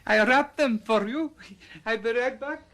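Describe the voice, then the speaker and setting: An actor playing a flattering, insistent male shopkeeper in an effusive, deferential way, in an old-time radio drama. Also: very high voice, unhurried, exaggerated voice. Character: courtly, flattering, insistent foreign-boutique shopkeeper, a man.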